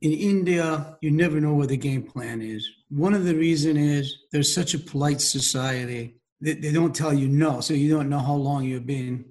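A man in his sixties who grew up in Iran and speaks Farsi as his first language. His voice sits a bit high for a man, and he answers questions in a relaxed, conversational, plain-spoken way. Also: normal speed, hardly any pauses. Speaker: guest, company chairman. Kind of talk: casual interview answers.

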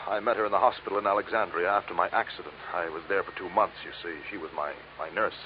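An actor playing an anxious, educated archaeologist in an old radio drama, polite and evasive with a hesitant stammer. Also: male voice, low voice, fairly even intonation, fast, close to an everyday voice. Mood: nervous, hesitant. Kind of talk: 1940s-50s radio drama acting. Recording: dull, noisy old radio recording.

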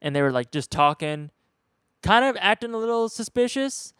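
A man's voice: high-pitched, big pitch swings, normal speed, a bit bright, clean tone, no room sound, studio quality. A man in his twenties chatting casually, rambling and laid-back, swearing now and then. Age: 20s. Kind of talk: casual chat.

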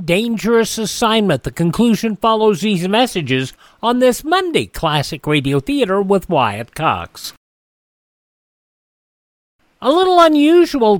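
High-pitched male radio host, dry sound. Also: dry recording. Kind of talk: radio presenting, announcing episodes.

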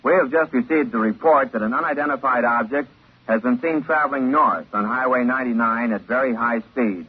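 A man playing a serious highway patrol officer reading a formal bulletin in a 1950s radio drama. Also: fairly quick, husky. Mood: official, serious. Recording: muffled, tinny old radio recording.

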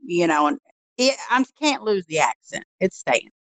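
A woman's voice: fairly low pitch, sing-song, normal speed. A woman from Texas with a Southern drawl, co-hosting a podcast, hesitant and self-conscious. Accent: Texan Southern drawl. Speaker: podcast co-host. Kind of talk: casual chat.

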